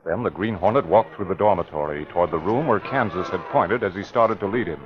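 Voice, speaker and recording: A man's voice: low voice, fairly quick, over loud music, natural voice. A man narrating a classic radio mystery drama in a scripted, dramatic style. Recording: dull vintage radio broadcast recording.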